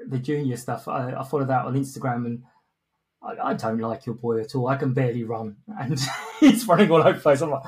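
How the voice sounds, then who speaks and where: A man's voice: mid-range voice, fairly quick, darkish tone. A man hosting a podcast in a casual, jokey, self-deprecating way. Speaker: podcast host. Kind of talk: casual interview chat.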